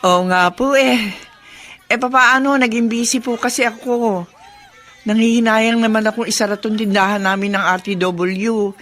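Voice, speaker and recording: An actress playing a dutiful, gentle middle-aged mother in a radio drama. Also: a little high, fairly even intonation, moderate pace, natural voice. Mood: respectful, reassuring.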